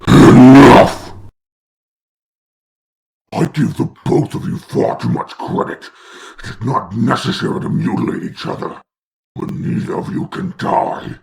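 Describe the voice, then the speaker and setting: A man voicing a grandiose, taunting demon lord in a comic dub, with a gravelly, theatrical delivery. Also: mid-range voice, unhurried, pauses often, a little mellow, slight background noise. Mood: scolding, domineering. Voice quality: gravelly, creaky. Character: grandiose demon lord, menacing mocking father of hellspawn.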